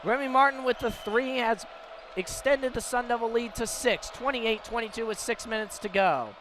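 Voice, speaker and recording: A man's voice: very high voice, normal speed, a crowd in the background. An American man doing live radio play-by-play commentary of a basketball game. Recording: live broadcast with clear background noise. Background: basketball game ambience, bouncing ball.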